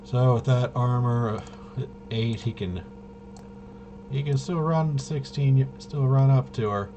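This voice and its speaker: A man thinking aloud in casual tabletop gameplay commentary, halting and muttering to himself. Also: medium pitch, highs cut off, background noise. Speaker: tabletop RPG player and presenter.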